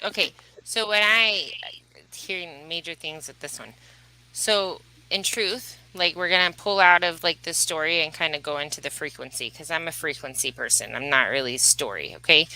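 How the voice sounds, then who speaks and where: A woman's voice: on the low side, bright, forceful tone, rough recording. A woman giving a casual, coaching-style spiritual reading, often checking in with short tag questions. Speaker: spiritual reader, co-host.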